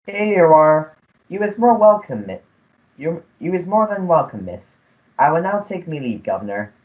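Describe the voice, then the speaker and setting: A cheeky young letter boy acted by a performer in a radio melodrama, servile and shifty. The pitch swings about in a lively way, and the sound is muffled like a phone line. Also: normal speed, close to an everyday voice. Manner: shifty, ungrammatical, servile "Governor". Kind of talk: melodramatic radio play acting. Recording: muffled, phone-like school radio play recording.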